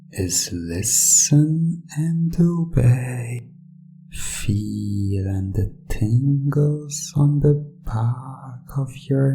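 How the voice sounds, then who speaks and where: A hypnotist delivering a scripted ASMR induction in a soft, soothing yet commanding way, with deliberate phrasing and breathy moments. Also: deep voice. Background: soft plucked string music.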